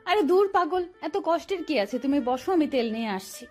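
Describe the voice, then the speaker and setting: A woman plays a caring, playful young college student. Her voice is high. It is romantic audio drama dialogue over soft music. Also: expressive, moderate pace. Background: background music.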